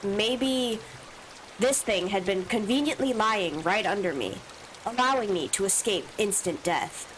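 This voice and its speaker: A woman's voice: medium pitch, expressive, a little slow, a little hiss or hum, poor audio. A woman streamer with allergy sniffles, reading a visual novel's first-person text aloud with asides of her own. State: stuffy from allergies.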